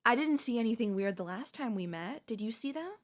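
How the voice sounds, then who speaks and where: A woman playing a devoted, possessive wife in an ASMR audio roleplay, speaking haltingly with deliberate dramatic pauses. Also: fairly high pitch, expressive, close to an everyday voice. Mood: puzzled, suspicious. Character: devoted wife, shocked then eerily composed, quietly possessive.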